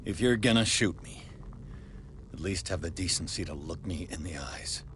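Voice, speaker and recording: An actor playing a composed, defiant male intruder with a rough husky voice in a dramatic game scene. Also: low-pitched, moderate pace, a little hiss or hum, close to an everyday voice.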